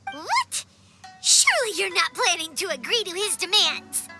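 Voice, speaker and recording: Squeaky little fairy girl from a game, super high, swoops all over, anxious and loyal. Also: measured pace, soft background music, full character voice. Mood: alarmed. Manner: squeaky, worried, excitable. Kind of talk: cartoonish video game dialogue.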